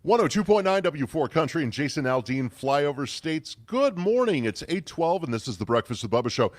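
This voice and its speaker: Lively-voiced man hosting morning radio. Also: fairly high pitch, brisk. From California. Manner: joking, loose and chatty. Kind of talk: casual morning radio banter. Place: remote, away from the studio.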